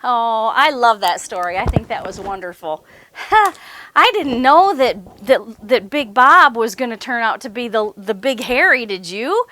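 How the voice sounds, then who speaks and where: Bright, high-voiced woman telling children's stories. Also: a little slow, a little hiss or hum. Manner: animated, asks the children questions. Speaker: library story time host. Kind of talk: expressive storytelling for young children.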